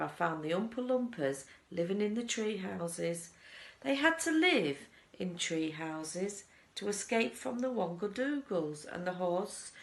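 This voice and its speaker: A woman's voice: mid-range voice, roomy sound. A woman voicing a proud, eccentric male chocolate maker telling his tale with emphatic relish in a storybook. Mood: dramatic relish.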